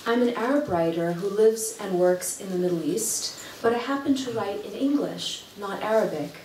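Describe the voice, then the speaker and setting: A woman from Kuwait, an author reading a prepared talk at a library event in a measured, articulate way. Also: medium pitch, animated, darkish tone, slight background noise. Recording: echoey room, heard from far off.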